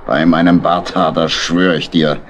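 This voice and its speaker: A brave old-style badger captain, deep and resolute. He speaks earnestly, as a steadfast hero of a children's audio play, with faint noise behind. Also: level tone, unhurried.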